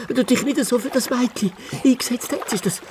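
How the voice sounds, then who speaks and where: An actor voicing a bossy, cowardly fox detective in a children's radio play, blustering and stammering with a Swiss German accent. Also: male voice, very high voice, brisk, noisy background. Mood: sulky.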